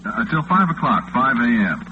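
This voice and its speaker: A man playing a chatty, folksy overnight radio disc jockey with an American accent, in a casual, halting old-time radio drama style. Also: medium pitch, very animated, lightly acted. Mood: casual, modest. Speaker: late-night radio host. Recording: muffled, noisy vintage radio recording.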